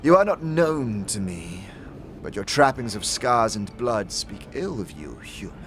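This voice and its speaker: A man acts out a severe warrior woman from a fantasy audio drama. Her speech is formal and archaic, full of scorn and accusation. Soft music sits behind the voice. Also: on the low side, very animated, a little slow. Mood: cold, suspicious. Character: stern, hostile, scornful female alien warrior.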